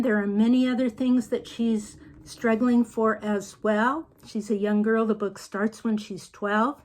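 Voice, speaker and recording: Slow-speaking older woman author. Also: medium pitch, darkish tone. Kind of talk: casual video message.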